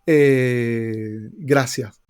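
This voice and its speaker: A man's voice: mid-range voice, fairly even intonation, drawn-out words, darkish tone, smooth. A man from Venezuela with a Venezuelan accent, answering interview questions thoughtfully with many fillers and restarts. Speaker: guest researcher.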